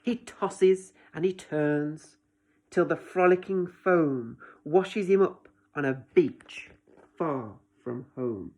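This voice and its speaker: Slow-paced woman reading to children. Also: deep voice, a little mellow. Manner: dramatic, addressing young listeners directly. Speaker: children's story reader, teacher. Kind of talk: expressive picture-book reading with asides to children.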